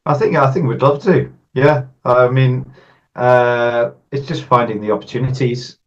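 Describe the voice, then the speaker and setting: A man's voice: mid-range voice, fairly even intonation, measured pace, highs cut off. A man with an English accent giving casual interview answers as a member of a band.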